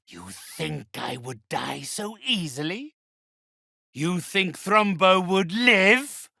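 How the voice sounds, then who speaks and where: A sinister undead necromancer from a video game, husky and gravelly in a very dry, close recording. He speaks slowly and imperiously, with theatrical menace in every grand phrase. The voice often thins into a breathy hiss. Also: on the high side, sharp tone, dry recording, full character voice. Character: haughty, sinister undead necromancer and spirit medium, male.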